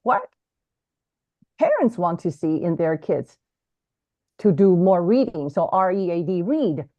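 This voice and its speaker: A woman's voice: on the low side, unhurried, no echo. A woman whose first language is Chinese, a guest language educator explaining ideas in a teacherly way on a video call, often restarting phrases. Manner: animated, self-correcting, teacherly. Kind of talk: explanatory interview talk. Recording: dry, slightly dull lo-fi audio.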